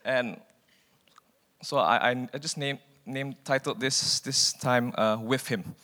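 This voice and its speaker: A man from Malaysia preaches as a guest speaker. He talks in a casual, conversational way and often stumbles over the first word of a phrase. His voice sits at an ordinary pitch and has a long reverberant tail, as if he is speaking in a church hall. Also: slow, bright, forceful tone. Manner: casual, chatty, repeats words when starting a phrase. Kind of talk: informal sermon.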